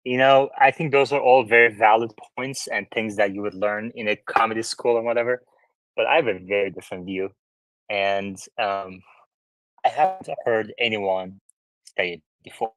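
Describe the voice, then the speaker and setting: Low-voiced man in his thirties, from Turkey. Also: steady tone, normal speed, a bit bright, no room sound, rough recording. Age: 30s. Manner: curious, steers with questions. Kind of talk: casual chat.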